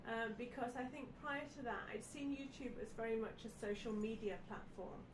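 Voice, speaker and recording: A woman's voice: fairly high pitch, fairly quick. A woman, snuffly with a head cold, chatting casually as a podcast guest. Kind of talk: casual chat from notes. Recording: echoey, distant mic, clear background noise.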